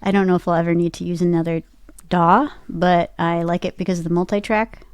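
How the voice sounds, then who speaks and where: A woman's voice: lowish voice, steady tone, a little fast, clear, resonant tone, no echo. A woman chatting casually and informally as an interview guest. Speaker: guest, voice actor and podcaster.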